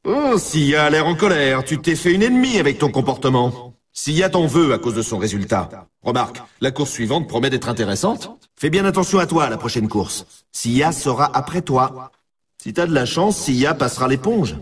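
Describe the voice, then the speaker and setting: A man playing a hyped-up, brash and teasing announcer in a snowboard racing video game, with a husky, rough-edged voice. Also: medium pitch, animated, moderate pace, far from the mic. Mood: teasing warning.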